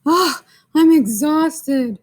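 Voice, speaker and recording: Woman voicing an overworked crayon, slow and high-pitched. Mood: exhausted. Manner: emphatic, dramatic complaining. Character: overworked, exhausted red crayon, pleading and complaining. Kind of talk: expressive children's picture book read-aloud.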